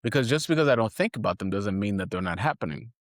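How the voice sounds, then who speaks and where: A man talks alone as a podcast host. His delivery is reflective and earnest, moving in halting phrases as he thinks aloud. The sound is dry, with very little room in it. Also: lowish voice, expressive, no room sound. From Haiti. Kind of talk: reflective solo podcast talk.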